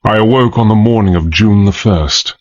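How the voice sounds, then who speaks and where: An actor playing a gentleman adventurer who recounts a dangerous undercover exploit in a grave, confiding manner, as dramatized first-person narration. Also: lowish voice, moderate pace, muffled recording, lightly acted.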